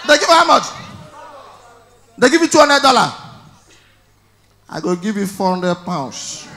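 A man in his thirties with a Nigerian accent, performing stand-up on stage with a handheld mic, telling stories loudly and shouting his punchlines. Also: high-pitched, sing-song, measured pace. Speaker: stand-up comedian. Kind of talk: stand-up comedy in Pidgin. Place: large indoor event hall on stage.

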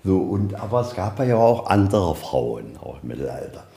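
A man with a low, mellow voice leads an informal museum tour. He narrates in a folksy way, putting questions and answering them himself. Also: very animated, normal speed, gravelly. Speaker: museum guide. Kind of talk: informal guided tour storytelling. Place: inside a museum.

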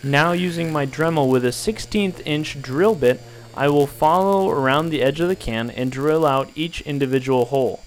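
A young man calmly walking viewers through a build, step by step, in an instructional voice-over. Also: a little high, moderate pace, hardly any pauses, low-quality recording. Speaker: DIY tutorial maker.

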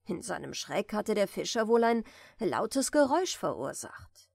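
A woman softly reading a bedtime fairy tale in a gentle, soothing manner, at times close to a whisper. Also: medium pitch, very animated, measured pace, creaky, husky, no echo. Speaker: audiobook reader, storyteller.

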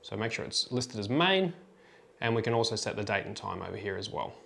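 A man's voice: fairly low pitch, animated, brisk, creaky, fairly bright tone. A man presenting an informal tutorial, talking through a product demonstration step by step in a plain, instructional way.

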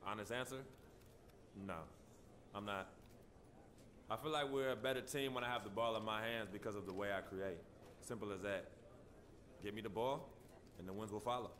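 A man's voice: lowish voice, fairly even intonation, normal speed, pauses often, fairly bright tone, a little hiss or hum, natural voice. Man playing a brash young basketball star. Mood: self-assured. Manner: brash, dismissive, slangy. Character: cocky young pro basketball star at press conference. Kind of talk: acted press conference answers for sports game.

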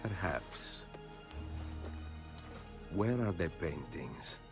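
An actor playing a calm, courteous and resolute male assassin with an Italian accent in a video game, in a measured delivery. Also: low voice, over music, exaggerated voice. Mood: calm, firm. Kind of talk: video game cutscene acting.

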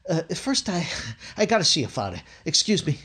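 A man's voice: on the high side, big pitch swings, fairly quick, noisy background. A man playing a nervous, bumbling young working-class clerk in a recreated 1950s radio sitcom, stammering and hesitant. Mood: shy, flustered. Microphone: video call microphone.

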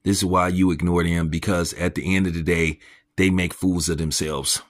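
Host, male, very low voice, blunt mocking rant, crude commentary. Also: flat delivery, normal speed. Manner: pitch jumps up when incredulous. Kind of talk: ranting commentary monologue.

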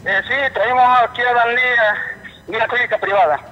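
Over a dull, reverberant phone line comes a high-voiced man with a Honduran accent. He is calling in to a news programme and sounds indignant. His speech is halting, with phrases often repeated. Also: fairly even intonation. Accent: Honduran Spanish. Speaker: phone-in caller, relative of a patient. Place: telephone call to a TV studio.

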